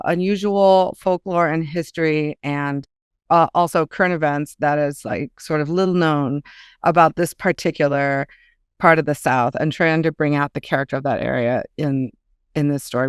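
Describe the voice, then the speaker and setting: A woman's voice: sits low, normal speed, smooth, no echo, clean studio sound. A woman talking casually as a guest author in an interview, thinking aloud in a chatty, hesitant way.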